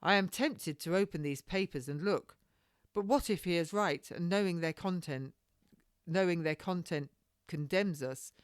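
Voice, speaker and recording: A woman's voice: fairly low pitch, normal speed, no room sound, studio quality, lightly acted. Woman acting an anxious, demanding noble lady. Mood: anxious, questioning. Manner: urgent, questioning, formal period speech.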